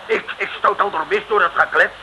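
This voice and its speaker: An actor playing a big, gruff, irritable male billiard player who grumbles bluntly in a 1960s radio comedy. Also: sits high, steady tone, a little fast, pushed and bright, low-quality recording. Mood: irritated. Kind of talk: 1960s Dutch radio comedy serial acting. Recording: old radio recording, faint noise.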